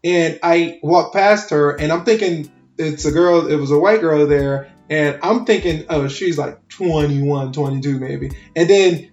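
A man's voice: a little high, unhurried, hardly any pauses, a little mellow, roomy sound, muffled recording, soft background music. A man in his twenties co-hosting a podcast, chatting loosely with lots of swearing and laughter. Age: 20s. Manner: loose, profane, laughs often. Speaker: podcast co-host. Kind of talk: casual chat.

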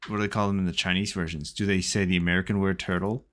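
A man's voice: sits low, little pitch movement, very fast, a bit bright. A man co-hosting a podcast, rambling in casual chat and swearing freely. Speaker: podcast co-host.

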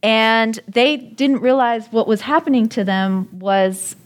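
A woman's voice: a little high, slow pace. A woman from Germany, a native German speaker and birth coach, asking reflective questions in a panel talk.

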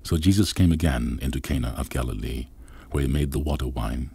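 A man with a gravelly, husky voice reading scripture aloud in archaic wording. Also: extremely low pitch, fairly quick, warm tone. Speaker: Bible reader.

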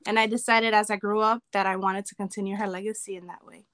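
A woman's voice: mid-range voice, little pitch movement, fast talker, fairly bright tone, dry recording, clean studio sound. A woman from the Bronx, New York, talking casually as a podcast guest and slipping Spanish phrases into her English. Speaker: podcast guest, catering business owner. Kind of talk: casual interview chat.

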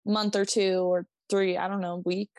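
A woman's voice: medium pitch, little pitch movement, moderate pace, darkish tone. A woman with a Minnesotan accent co-hosting a casual podcast, rambling, restarting herself, laughing and swearing as she talks. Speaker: podcast co-host. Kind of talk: casual chat.

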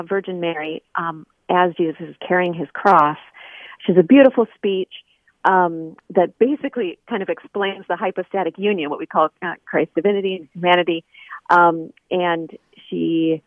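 A woman's slightly low voice comes through a narrow, dry telephone line. She is a guest in a radio interview, explaining in a conversational way and reaching for examples as she goes. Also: no room sound. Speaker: interview guest, editor and scholar. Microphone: phone.

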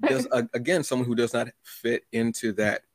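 A man's voice: mid-range voice, fairly even intonation, moderate pace, no room sound. A man hosting a casual, conversational interview, often restarting his phrases and acting out little asides. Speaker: podcast host.

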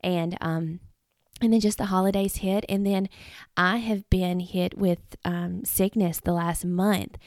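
A woman, hosting a devotional podcast in an earnest, rambling way, her voice a little rough from illness. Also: fairly low pitch, steady tone, measured pace, a little mellow, no room sound, clean studio sound. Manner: earnest, rambling with many fillers and restarts. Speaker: podcast host. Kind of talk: casual devotional talk. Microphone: close podcast mic.

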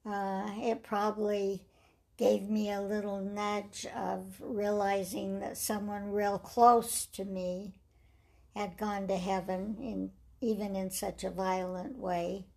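An older woman with a raspy voice, telling her life story slowly and reflectively in an interview. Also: mid-range voice, level tone. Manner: slow, measured storytelling with soft trailing phrases.

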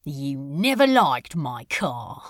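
A woman's voice: rumbling low, very animated, takes it slowly, no room sound, studio quality, exaggerated voice. A woman playing an irritable, snarling male demon in a fan audio drama, with exasperated, dramatic delivery. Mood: sullen, hurt. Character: irritable, snarling male demon, defensive about his car.

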